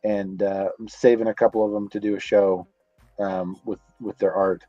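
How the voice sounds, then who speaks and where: A man's voice: on the low side, little pitch movement, normal speed, dark tone, no room sound, dull audio, faint music behind. A man in his office on a college campus, chatting casually over a video call and rambling with many restarts. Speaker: guest, comics creator. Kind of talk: casual chat.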